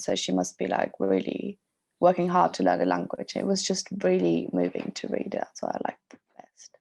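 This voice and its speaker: A young woman whose native language is German, raised near the German-French border, speaking softly and hesitantly as a student judge. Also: fairly low pitch, vocal fry. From German-French border region. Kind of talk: casual interview answers.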